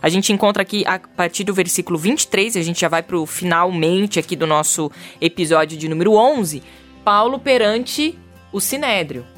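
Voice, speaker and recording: A woman's voice: on the low side, moderate pace, fairly bright tone, faint music behind. A woman co-hosting a casual Bible podcast, reading passages aloud and then commenting lightly. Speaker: podcast co-host.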